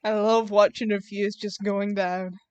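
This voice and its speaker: A woman's voice: mid-range voice, level tone, a little slow, a little mellow, clean tone, no echo, lo-fi sound. A woman with a Scottish accent chatting casually on a live role-play stream, giggly and playful.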